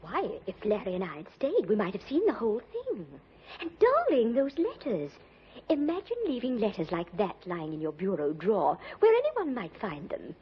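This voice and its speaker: A woman's voice: mid-range voice, huge pitch swings, normal speed, a little hiss or hum. An actress playing a frivolous, gossipy society woman in a 1950s radio drama, gushing and flippant. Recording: muffled old radio recording, faint music.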